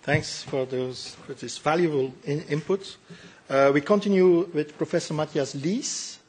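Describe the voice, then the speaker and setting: Male moderator, raised pitch, slightly husky, polite and efficient. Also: darkish tone. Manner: polite, brisk introductions. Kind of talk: chairing a hearing. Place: parliament hearing room.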